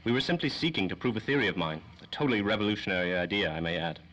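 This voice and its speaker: A man acts a self-important doctor of science from a 1930s radio serial. His low voice has a sharp, forceful edge, and he rattles off formal, pedantic phrases quickly. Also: lively intonation, lo-fi sound. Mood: proud, indignant. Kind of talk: 1930s old-time radio drama acting.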